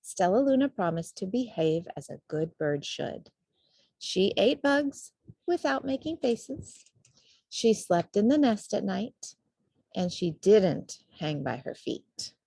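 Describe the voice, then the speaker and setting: Unhurried, expressive woman reading a storybook. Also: mid-range voice, creaky, husky, dry recording. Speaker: storybook reader. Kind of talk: expressive children's storybook narration.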